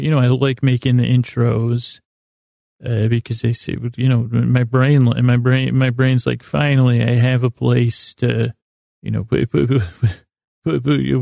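A male podcast host with a heavily creaky, gravelly voice and a flat, narrow melody. He wanders through a sleep-podcast monologue, halting and doubling back in a self-deprecating way. The recording is close and dry. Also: medium pitch, dry recording. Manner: meandering, halting, self-deprecating, often losing his thread.

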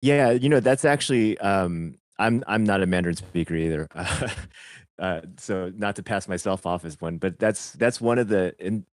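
A man's voice: sits low, moderate pace, dry recording. A man co-hosting a podcast film review, carefully qualifying his points. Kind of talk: casual film review discussion.

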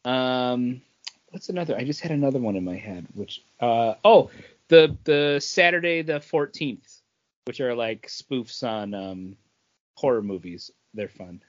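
A man's voice: mid-range voice, a little slow, dull audio. A man in casual podcast chat, joking along with his co-hosts in a droll way.

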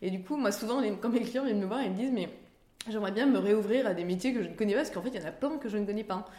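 A woman's voice: medium pitch, racing, dark tone. A woman, telling her story in a chatty, informal, conversational way with self-deprecating asides. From France. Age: 30s. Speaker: interview guest, career-change coach and author. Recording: roomy, echoing sound.

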